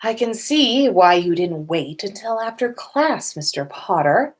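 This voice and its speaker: A practical witch healer at a school as a woman reader voices her. She is dry and matter-of-fact, explaining in a measured, middle-pitched voice, storybook style. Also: animated.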